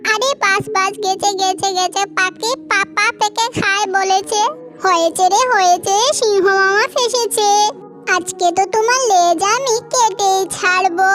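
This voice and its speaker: A little trickster bird from a children's cartoon, pitched extremely high like a small child. The delivery is cheeky, sing-song and fond of rhyme. Prominent music runs behind the voice. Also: female voice, fairly even intonation, a little slow. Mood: triumphant. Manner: sing-song, teasing, rhyming. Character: small, cheeky, song-loving tailorbird girl, cocky trickster.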